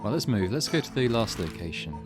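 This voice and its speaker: Low-voiced man over game music. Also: sing-song, a little fast. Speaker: video game streamer. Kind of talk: let's play commentary, reading game text aloud. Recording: music behind the voice.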